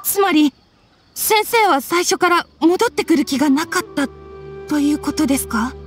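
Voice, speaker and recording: A woman's voice: sits high, animated, normal speed. A woman voicing an earnest, polite young woman in a Japanese anime game, grieving and formal in speech. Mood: shocked, hurt. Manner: polite, soft-spoken formal phrasing. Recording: studio voice over game music.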